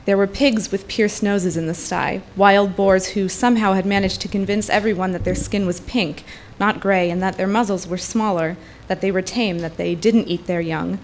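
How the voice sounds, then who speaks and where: A woman reading literary fiction aloud at a live event, in deliberate, fluent narration with pauses. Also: medium pitch, steady tone. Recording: live event with background noise.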